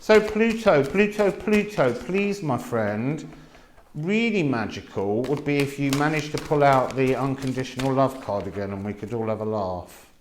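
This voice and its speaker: A man giving a casual spoken card reading, musing slowly with many pauses. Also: mid-range voice, animated. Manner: slow, musing, drawn-out delivery with many pauses. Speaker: tarot and astrology reader. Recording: echoey room with faint noise.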